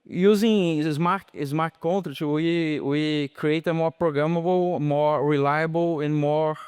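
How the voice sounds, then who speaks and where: A man from Brazil with a Brazilian Portuguese accent, a bank executive answering on a conference panel in his second language, pausing often and searching for words. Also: on the high side, steady tone, darkish tone, smooth, no echo, clean studio sound. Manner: halting, searching for English words, many fillers and restarts.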